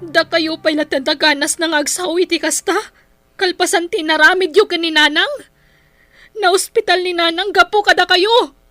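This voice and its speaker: Very high-voiced dutiful daughter. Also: female voice, steady tone, moderate pace, sharp tone, no echo, soft background music. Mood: upset, reproachful. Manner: earnest, emotional. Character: dutiful, long-suffering, outspoken grown-up eldest daughter. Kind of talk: Ilocano radio drama acting.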